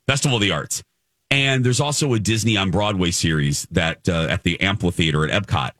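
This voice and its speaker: A man hosting a morning radio show, chatting casually and effusively, often halting and repeating himself. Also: deep voice, a bit bright, dry recording, clean studio sound. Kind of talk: casual chatty radio talk with personal anecdotes.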